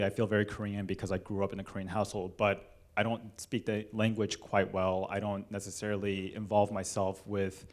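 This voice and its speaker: A man moderating a panel discussion, speaking in a halting way with many fillers and restarts. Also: on the low side. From United States.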